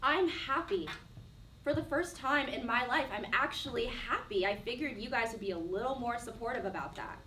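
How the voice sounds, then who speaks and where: A woman's voice: on the high side, expressive, normal speed, pushed and bright. An actress playing a defiant, wounded twenty-three-year-old woman in a stage play, speaking earnestly and defensively. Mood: hurt, insistent. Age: young adult. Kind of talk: one-act play dialogue. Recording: roomy echo, distant mic, faint noise.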